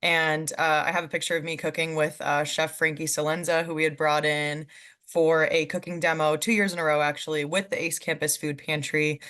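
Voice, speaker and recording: A young woman in her twenties with a low-pitched voice chats over a video call. She talks in a loose, friendly way and leans on "um" a lot. She laughs easily as she goes. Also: flat delivery, a little fast, fairly bright tone. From California. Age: 20s. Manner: chatty, many "um"s, laughs easily. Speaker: guest panelist. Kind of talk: casual chat on a video call.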